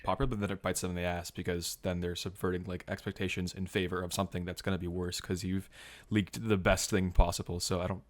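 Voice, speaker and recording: Deep-voiced man in casual conversation. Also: flat delivery, fast. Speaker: podcast panelist. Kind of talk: casual chat.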